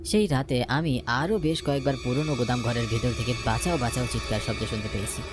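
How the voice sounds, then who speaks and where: A man's voice: medium pitch, fast. Male ghost story narrator over music. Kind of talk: scripted first-person ghost story narration.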